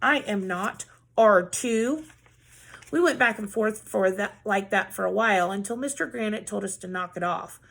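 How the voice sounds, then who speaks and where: A woman reading a children's story aloud in an expressive way, acting out the characters' dialogue. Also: medium pitch, fairly quick, creaky, few pauses. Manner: animated, acts out characters' dialogue.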